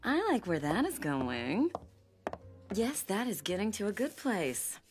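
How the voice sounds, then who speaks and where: Slow, dreamy cartoon art teacher. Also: female voice, medium pitch, big pitch swings, faint music behind. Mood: encouraging. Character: dreamy, dramatic female monster art teacher. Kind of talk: animated cartoon acting.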